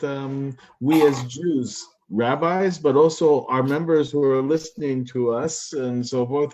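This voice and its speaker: A man speaks in a reflective, thinking-aloud manner at an ordinary middle pitch. The recording sounds dull and lo-fi. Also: dark tone. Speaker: rabbi. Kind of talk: conversational discussion.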